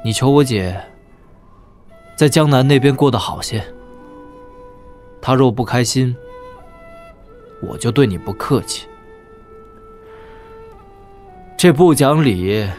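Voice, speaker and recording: Proud young nobleman swordsman for wuxia radio play, male actor; sardonic, brooding, mid-pitched, music underneath. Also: animated, unhurried, pauses often, a little mellow, lightly acted. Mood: stern warning. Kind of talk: Chinese wuxia audio drama acting.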